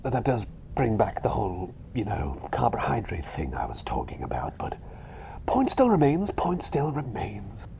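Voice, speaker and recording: A man voicing a fussy, chatty little bird who is really a doctor, rambling and repeating himself politely in a hushed, breathy ASMR roleplay. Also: fairly low pitch, wildly swooping pitch, normal speed, a little hiss or hum, exaggerated voice. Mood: musing, insistent. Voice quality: breathy, half-whispered.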